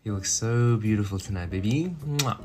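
A man's voice: on the low side, moderate pace, darkish tone, soft background music, close to an everyday voice. Guy acting as a possessive mafia boyfriend, sweet baby talk for his girl, menacing w everyone else, roleplay skit. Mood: tender, protective. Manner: switches between sugary baby talk and cold threats. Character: possessive crime boss boyfriend, menacing to others, doting on his girlfriend.

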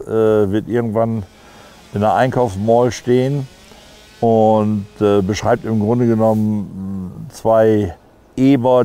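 Halting middle-aged man, outdoors. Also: on the low side, mellow. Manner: halting, many fillers. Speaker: event organizer. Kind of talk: casual interview. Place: outdoors at a woodcarving site.

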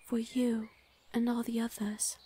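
A woman plays a gentle fae lady of the forest in an ASMR roleplay. Her voice sits fairly high and is very breathy, often slipping into a whisper. She speaks soothingly, like a protector caring for someone. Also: level tone, measured pace. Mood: soothing, apologetic.

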